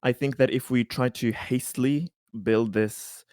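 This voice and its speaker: A man playing a cautious, budget-minded colony council member in a tabletop game, speaking haltingly and deliberately. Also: mid-range voice, steady tone, darkish tone, dry recording, studio quality, natural voice. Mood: measured, apprehensive. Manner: hesitant, halting, deliberative delivery. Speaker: player in a tabletop roleplaying game. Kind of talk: improvised in-character tabletop roleplay.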